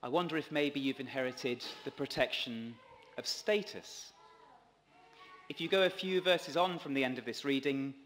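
A man in his thirties, a priest, delivers a scripted sermon in a measured, earnest way from a church pulpit. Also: medium pitch, a bit bright. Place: large stone church, at a pulpit. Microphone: gooseneck lectern microphone. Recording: long church reverb.

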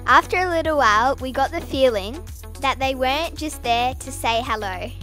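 A woman narrates a travel vlog in a high, bright voice. Loud background music plays under her cheerful, scripted storytelling. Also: lively intonation, moderate pace.

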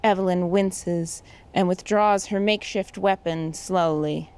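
A woman's voice: lowish voice, steady tone, measured pace, a little mellow. Woman narrating an audio drama script. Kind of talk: scripted narration of stage directions.

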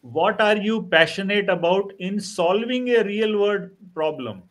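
Man, 50s, high voice, halting, reflective, panelist on video call. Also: expressive. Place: wood-furnished room, on a video call.

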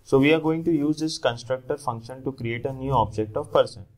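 A man's voice: medium pitch, a little fast. A young man narrating a screen-recorded coding tutorial, explaining each step plainly and correcting himself now and then. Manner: steady step-by-step explaining with small self-corrections. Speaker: programming tutor.

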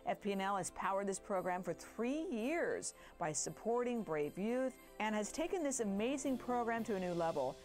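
A woman's voice: medium pitch, expressive, moderate pace, hardly any pauses. A woman co-hosting a parade broadcast with gushing, exclamatory, enthusiastic commentary. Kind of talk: enthusiastic live event commentary. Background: music.

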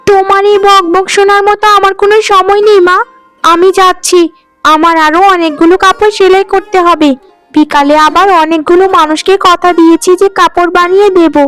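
A woman voicing an irritable, sharp-tongued young seamstress daughter in a cartoon, scolding and complaining. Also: extremely high pitch, fairly even intonation, a little fast. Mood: irritated, scolding. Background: faint music.

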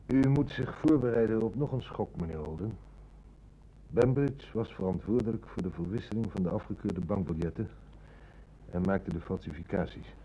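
An actor playing a polite, firm and determined police inspector in a radio play, speaking in a formal, measured way. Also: male voice, lowish voice, dark tone, close to an everyday voice. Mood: grave, formal. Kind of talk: Dutch radio drama acting. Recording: dull, noisy old radio recording.

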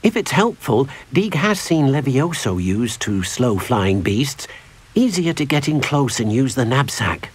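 An actor playing a gentle, earnest, small elderly house-elf servant in a video game, who speaks of himself in the third person. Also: male voice, medium pitch, big pitch swings, measured pace, breathy edge. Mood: helpful, polite. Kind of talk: scripted video game character acting.

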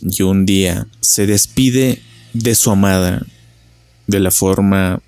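A man's voice: deep voice, fairly even intonation, slow pace, darkish tone, soft background music. A man narrating a scripted legend in a storytelling style.

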